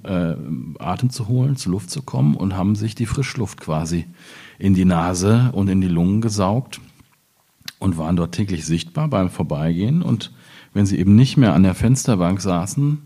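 Middle-aged male poet, very low husky voice, reflective and halting in literary discussion. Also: warm tone. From Ruhr area, Germany. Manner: thoughtful, halting, searching for words while speaking. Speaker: poet discussing his work. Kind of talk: reflective literary panel talk.

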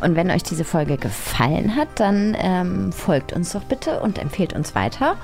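A woman's voice: on the low side, very animated, a little slow, a little mellow, soft background music. A woman co-hosting a podcast in a casual, slangy conversational style. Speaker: podcast co-host.

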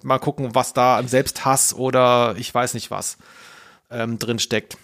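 A man's voice: mid-range voice, flat delivery, a little slow, a bit bright. A man co-hosting a podcast, talking casually in a jokey, tongue-in-cheek way. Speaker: podcast host.

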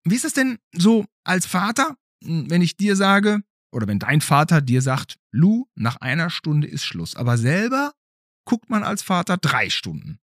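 A man's voice: fairly high pitch, wildly swooping pitch, measured pace, a bit bright, no echo, clean studio sound. A middle-aged man chats casually as a podcast host, asking questions in a playful, inquisitive way. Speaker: podcast host interviewing a child. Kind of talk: casual interview chat.

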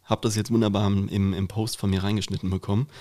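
A young man with a fairly low voice co-hosts a casual podcast. He speaks in a conversational way, often stammering and doubling back on words as he goes. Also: flat delivery, fast, a little mellow. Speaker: podcast co-host.

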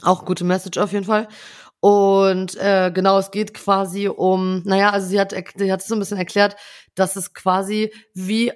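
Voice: medium pitch, flat delivery, normal speed. A young adult podcast co-host in a casual chat, talking in a rambling way full of hedges and tag questions.

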